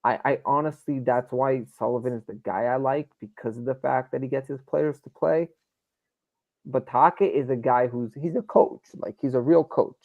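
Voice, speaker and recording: A man's voice: medium pitch, steady tone, normal speed, vocal fry, no room sound, narrow, tinny audio. A man from New York arguing hockey opinions emphatically in a casual live stream, often repeating himself for emphasis. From New York, United States. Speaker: sports fan show panelist. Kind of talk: casual live sports debate.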